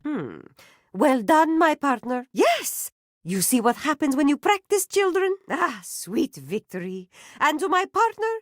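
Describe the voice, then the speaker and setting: Grandiose circus matriarch for a video game, middle-aged, guilt-tripping; fairly high voice that swoops up and down, dry studio sound. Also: female voice, no room sound, exaggerated voice. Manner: theatrical, grandiose, scolding.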